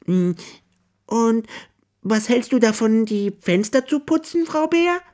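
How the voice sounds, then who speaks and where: A storyteller voicing a timid, tidy, polite male hare in a children's story, talking high and eager. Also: sing-song, unhurried, a little mellow.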